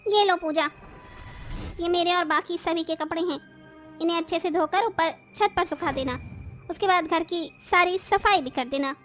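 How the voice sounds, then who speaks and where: Actress as scornful elder sister-in-law for story dialogue. Extremely high register, bossy, sneering delivery. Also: female voice, moderate pace, a bit bright, faint music behind. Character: haughty scolding elder sisters-in-law in a household. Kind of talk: acted Hindi moral story dialogue.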